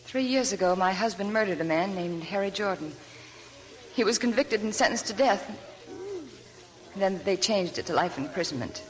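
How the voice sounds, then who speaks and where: A woman's voice: mid-range voice, animated, normal speed, lightly acted, poor audio. An actress doing a weary young woman with a secret. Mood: confiding. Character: young woman hiding a sad past, weary. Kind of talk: 1940s radio acting. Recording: old radio broadcast with faint music.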